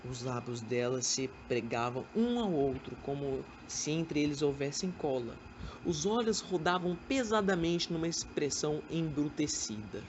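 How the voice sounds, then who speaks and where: A woman's voice: low-pitched, lively intonation, normal speed, a little mellow. Woman narrating, slightly muffled recording. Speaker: narrator of a student radio drama. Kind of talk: scripted dramatic story narration. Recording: dull amateur recording with faint noise.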